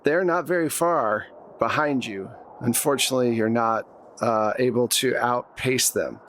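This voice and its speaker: A man's voice: mid-range voice, measured pace, a bit bright, slight background noise. A man acting as game master, narrating scenes to players in a conversational way and asking them for rolls. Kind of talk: narrating a tabletop roleplaying game.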